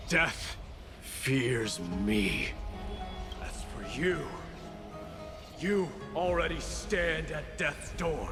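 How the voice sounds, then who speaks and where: Gravelly, measured young warrior prince. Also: male voice, on the high side, exaggerated voice. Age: young adult. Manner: stern, weighty delivery. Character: fierce, brooding young warrior prince, male. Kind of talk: dramatic fantasy game acting. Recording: long hall reverb, music behind. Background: music.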